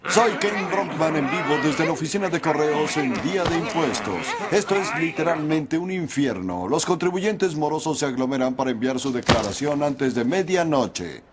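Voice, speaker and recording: A man's voice: a little high, animated, moderate pace, close to an everyday voice. A man playing a TV news reporter who delivers a formal, dramatic live report. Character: male TV news reporter live at chaotic tax-day post office. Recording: clearly noisy background.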